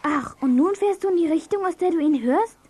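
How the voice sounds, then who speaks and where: A woman's voice: extremely high pitch, animated, fairly quick, warm tone. A woman playing a kind, cheerful young girl heroine in a children's audio drama. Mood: friendly.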